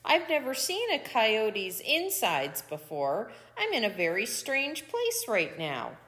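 Woman doing a little storybook toad, sly, stubborn, defiant, unflappable, animated delivery. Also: fairly high pitch, takes it slowly, long reverb tail, full character voice. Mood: curious, unhurried. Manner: defiant, matter-of-fact.

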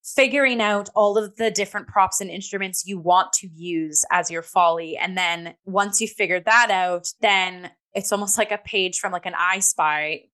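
Bright-voiced woman chatting through a review. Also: mid-range voice, normal speed, few pauses. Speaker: co-host of a theatre review talk show. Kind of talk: conversational review discussion.

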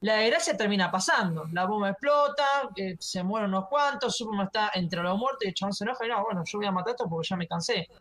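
A man's voice: high voice, animated, fast talker, hardly any pauses, fairly bright tone. A man with a Rioplatense Spanish accent chatting casually as a podcast panelist, explaining things in a tentative, circling way. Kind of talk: casual comic-book discussion.

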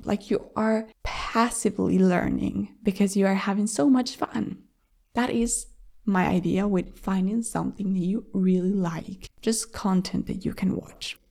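A woman's voice: medium pitch, vocal fry, warm tone. A young woman hosting a podcast, giving a language-learning lesson and patiently explaining tips, often asking listeners rhetorical questions. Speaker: podcast host and language teacher.

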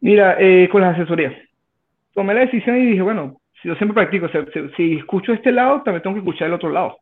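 A man's voice: high-pitched, lively intonation. Venezuelan man in his thirties over a tinny phone line. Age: 30s. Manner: halting, with many restarts and fillers. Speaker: guest. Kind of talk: casual interview answers.

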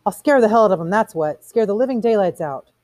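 A woman's voice: low voice, big pitch swings, fast talker, dark tone, no room sound, exaggerated voice. A woman voicing an agitated, stubborn man from a novel, blunt and heated. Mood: indignant, heated.

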